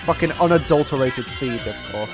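A man in his twenties giving excitable, sweary casual commentary while gaming with friends, on a close microphone. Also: medium pitch, moderate pace. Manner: excitable, exclamatory, swears freely. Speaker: game streamer. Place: indoor room streaming setup. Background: video game music.

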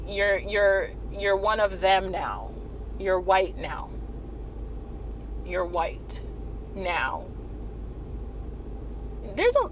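A young woman from Maryland giving animated, wry commentary to the camera, repeating phrases for emphasis. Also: mid-range voice, slight background noise, rough recording. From Maryland, USA. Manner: animated, wry, repeats herself when emphasizing, laughs at points. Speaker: vlogger. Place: small indoor room against a plain wall.

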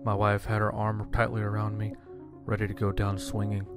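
A man narrating a scripted first-person horror story in a measured, dramatic way. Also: on the low side, monotone. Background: music.

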